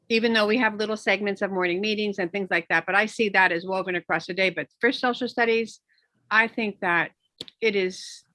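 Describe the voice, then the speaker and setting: Woman educator chatting in an online meeting, bright emphatic voice, really dry sound. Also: mid-range voice, dry recording. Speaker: elementary social studies curriculum specialist. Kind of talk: casual contribution to an online discussion.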